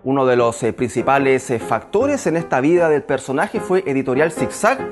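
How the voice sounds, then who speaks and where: A man's voice: medium pitch, big pitch swings, normal speed. Chilean man, thirties, documentary host, scripted delivery, varied intonation, music behind him.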